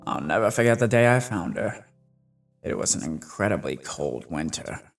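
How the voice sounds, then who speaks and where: Man acting suave, courtly gentleman hunter over music. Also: on the low side, very animated, moderate pace. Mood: fond, reflective. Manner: genteel, wordy, calls people dear and darling. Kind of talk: dramatic comic dub acting. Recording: voice over clear background music.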